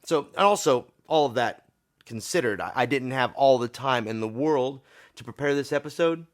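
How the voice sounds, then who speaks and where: Tired, scratchy-voiced pastor. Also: male voice, medium pitch, animated, moderate pace. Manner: informal, self-deprecating, with small repetitions. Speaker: pastor and podcast host. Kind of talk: podcast sermon.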